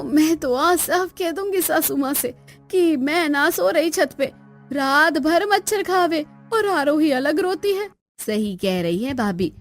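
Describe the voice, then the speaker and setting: A woman voicing an overworked young village daughter-in-law in a cartoon, complaining in rustic, gossipy phrases. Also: high-pitched. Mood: grumbling. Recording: music bed under the voice.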